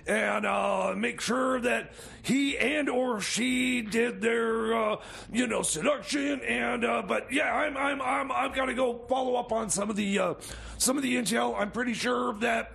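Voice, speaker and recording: A man playing a cranky, rambling time machine mechanic in a comedy radio skit, stammering and repeating himself in a raspy, strained voice. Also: steady tone, measured pace, a bit bright, full character voice. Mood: suspicious, rambling. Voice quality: raspy, strained, high-pitched. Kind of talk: improvised comedy radio theater.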